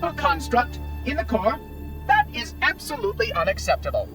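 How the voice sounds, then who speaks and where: Fussy floating machine caretaker, game cutscene: high, officious, distant, music underneath. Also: male voice, wildly swooping pitch, moderate pace, exaggerated voice. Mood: indignant. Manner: formal, pedantic, theatrically indignant. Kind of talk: video game cutscene acting.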